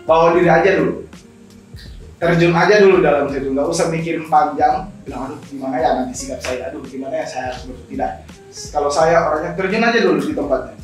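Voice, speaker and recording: A very echoey recording, picked up at some distance, carries a young man's slightly high voice. He talks as a guest on an informal talk show. He explains in a conversational way, often repeating a phrase before moving on. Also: quick pace. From Indonesia. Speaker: guest, scholarship alumnus. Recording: very echoey room, distant mic, music behind.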